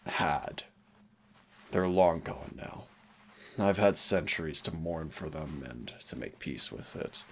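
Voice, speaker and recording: A man playing a centuries-old demon hunter, teasing and rebellious yet wistful and protective, in an intimate audio roleplay with a gravelly voice. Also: expressive, takes it slowly, a little hiss or hum, exaggerated voice. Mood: grieving, bitter. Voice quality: very low, gravelly creaky voice. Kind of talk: intimate second-person audio roleplay acting.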